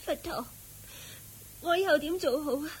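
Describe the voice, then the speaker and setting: An actress playing a sorrowful, humble young widow in a radio drama, plaintive and meek, with a Hong Kong Cantonese accent. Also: female voice, sits high, normal speed, slight background noise, natural voice, poor audio. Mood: grieving, tearful. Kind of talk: Buddhist radio drama acting.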